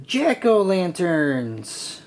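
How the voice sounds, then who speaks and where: A storyteller voicing an excited young boy cat in a children's storybook, expressive and exclaiming. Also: medium pitch, very slow, slight background noise, pitched above the performer's own voice, full character voice.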